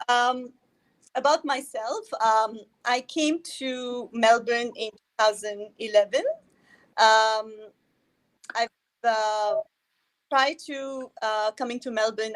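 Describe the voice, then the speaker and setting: A woman with a fairly high voice speaks as a guest over a video call. She talks casually and hesitantly, often pausing on fillers. Her manner is open and chatty. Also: low-quality recording. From India. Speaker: interview guest. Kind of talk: casual conversational interview.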